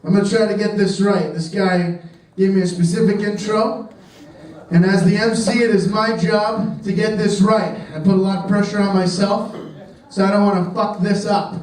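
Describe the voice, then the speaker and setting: A man's voice: high-pitched, far from the mic. A man hosting at a comedy club stage, joking with the crowd before he brings up the next comedian. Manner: quick, joking crowd work. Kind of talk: stand-up hosting, introducing the next act. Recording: echoey room, mic heard from afar. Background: audience laughter.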